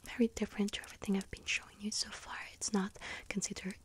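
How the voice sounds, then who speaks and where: A woman playing a sweet-toothed, friendly little witch who tends a bakery, in a whispered ASMR roleplay, speaking gently and savoringly in a breathy whisper with small hesitations. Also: mid-range voice, level tone, quick pace, lightly acted. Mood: gentle, savoring. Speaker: ASMR roleplay performer as bakery shop assistant.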